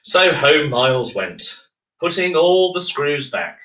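A man narrating a children's storybook in a measured, expressive way. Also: fairly high pitch. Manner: measured, expressive, clearly phrased. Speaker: bedtime story reader. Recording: roomy, echoing room.

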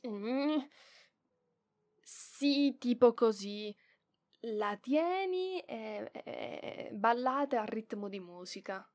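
Woman acting a flustered, slow-talking proud young librarian. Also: on the high side, animated, darkish tone. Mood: shy, hesitant. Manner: hesitant stammering, flustered scolding, giggly. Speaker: voice actress in audio roleplay. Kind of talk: ASMR roleplay acting.

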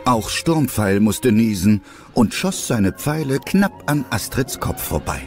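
A man's voice: medium pitch, big pitch swings, takes it slowly, loud music behind the voice. A man reading scripted narration for an audio drama.

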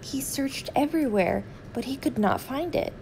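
A woman's voice: sits high, lively intonation, moderate pace, vocal fry, a little mellow, slight background noise, low-quality recording. A woman reading a picture book aloud as a storytime reader for children.

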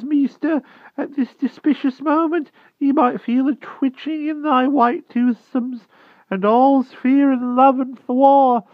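A man's voice: very high-pitched, dry recording, voice pushed up. A man voicing a timid old male hedgehog who pleads in a thick rustic country dialect. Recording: tinny phone-like dry sound.

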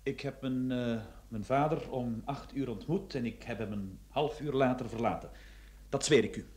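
An actor playing a defensive, insistent grown son being questioned in a radio play. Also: male voice, mid-range voice, normal speed, darkish tone, natural voice.

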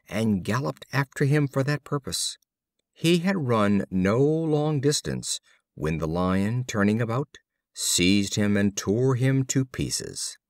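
A man narrates a storybook in a low voice with lively, swooping intonation. His phrasing is deliberate and measured, like an audiobook reader telling fables. The sound is dry and close. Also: dry recording.

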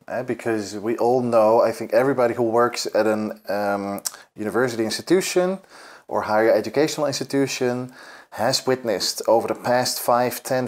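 A middle-aged man answering interview questions in a thoughtful, hedging way with many fillers. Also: medium pitch. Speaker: event participant interviewed.

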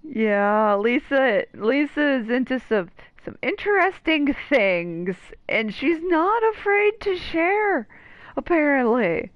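A woman's voice: sits high, big pitch swings, slow pace, no echo. A woman streamer giving casual commentary on a game and reading dialogue aloud. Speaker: gaming streamer. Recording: dull, muffled mic over game music. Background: game music.

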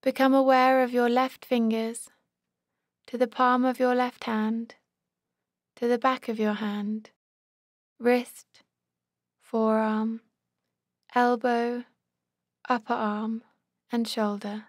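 A woman leading a guided body scan meditation as an instructor, calm and measured, giving short, gentle-paced instructions. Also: fairly high pitch, no room sound, studio quality.

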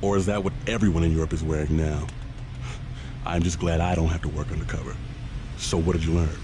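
A man's voice: deep voice, steady tone, a little fast, background noise, lightly acted. A man with an American accent playing a brusque, businesslike federal agent in a video game. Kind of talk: video game cutscene acting.

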